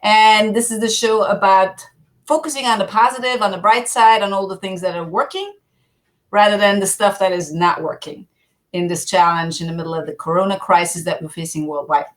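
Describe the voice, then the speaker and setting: A woman hosting a live online talk show, upbeat and quick to interject and laugh. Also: mid-range voice, steady tone. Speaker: show host. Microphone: video call.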